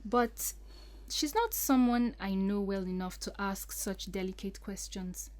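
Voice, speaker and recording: A woman's voice: medium pitch, measured pace, a little mellow, natural voice. A woman playing a troubled, polite young bride-to-be in an audio drama, speaking earnestly and hesitantly. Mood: grateful, apologetic.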